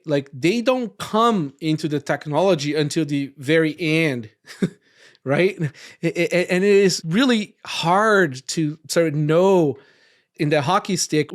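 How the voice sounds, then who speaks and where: A man from Brazil hosting a casual roundtable podcast, rambling and often tagging his points with quick questions. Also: on the high side. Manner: rambling, frequent tag questions. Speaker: podcast host.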